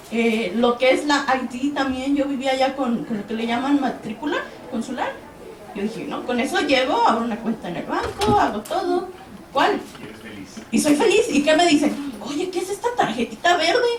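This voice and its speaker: A woman's voice: high-pitched, a little hiss or hum. A young woman from Mexico giving an earnest personal account on a panel, acting out quoted exchanges as she tells her story. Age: young adult. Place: event hall. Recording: echoey hall, distant mic.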